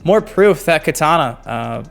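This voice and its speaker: Male gaming video host, slightly high voice, informal and slangy, an enthusiastic fan delivering casual news commentary. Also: expressive, unhurried, creaky, soft background music.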